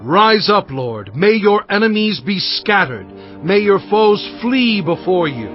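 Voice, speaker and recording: An actor playing an anguished, pleading prophet and leader in a dramatized audio Bible, with impassioned, declamatory delivery. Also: male voice, high-pitched, sing-song, slow, full character voice. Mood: commanding, invoking. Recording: dull lo-fi sound with music.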